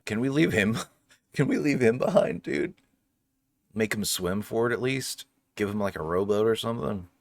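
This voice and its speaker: A man hosting a casual video game playthrough, commenting in an expressive, jokey, slangy way. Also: on the low side, moderate pace, vocal fry, no room sound. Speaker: gaming host doing a playthrough. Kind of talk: casual let's play commentary, partly voicing dialogue. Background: faint game ambience.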